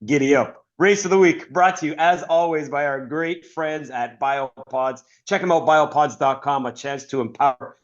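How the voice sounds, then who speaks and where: Energetic man hosting with hype. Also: a little high, normal speed, few pauses, muffled recording. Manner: energetic, hype announcer flair. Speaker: podcast host. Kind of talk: upbeat show hosting.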